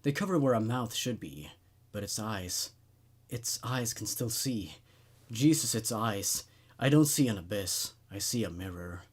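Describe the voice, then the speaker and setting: A man voicing a haunted, sleepless male patient who speaks in a halting, anxious way in a horror story. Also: on the low side, lively intonation, slightly rough. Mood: haunted dread.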